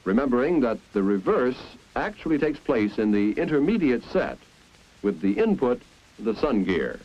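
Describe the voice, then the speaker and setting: A man's voice: mid-range voice, sing-song, a little mellow. Dull vintage film soundtrack, American male narrator. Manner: clear, deliberate, explanatory. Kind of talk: 1950s instructional film narration, scripted. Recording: dull, band-limited 1950s film soundtrack.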